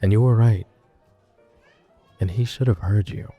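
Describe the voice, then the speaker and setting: A man playing a possessive, protective crime-family husband in an intimate boyfriend roleplay, speaking in a gruff, wry, low-key murmur. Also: a little slow, muffled recording, faint music behind, lightly acted. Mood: guarded, tender.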